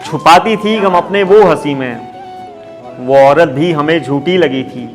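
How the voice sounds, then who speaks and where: Male poet, slightly high voice, declaiming ghazal couplets live, repeating lines with growing force, clear instrumental backing. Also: a little slow, faint music behind. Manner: declaiming, repeats each couplet with rising emphasis. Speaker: poet at an open mic. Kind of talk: ghazal recitation. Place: open mic stage in Mumbai. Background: musical instrument playing along.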